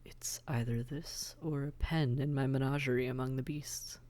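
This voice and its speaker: A man playing a suave, seductive demon prince in an intimate audio drama, breathy and often near a whisper, teasing and coaxing in formal wording. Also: medium pitch, fairly even intonation, normal speed, darkish tone, close to an everyday voice. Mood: teasing, menacing.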